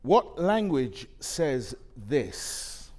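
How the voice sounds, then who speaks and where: A man giving a dramatic poetry recital on stage, measured and weighty, with deliberate pauses. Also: medium pitch, big pitch swings. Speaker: actor reading poetry on stage. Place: theatre stage.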